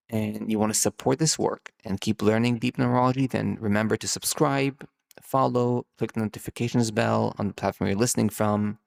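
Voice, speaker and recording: A man's voice: lowish voice, gravelly. A man hosting his own podcast, thinking aloud off the cuff, drawing out key words and often restarting his sentences. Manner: rambling, musing, with many restarts. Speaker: podcast host, numerologist. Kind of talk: improvised solo podcast monologue.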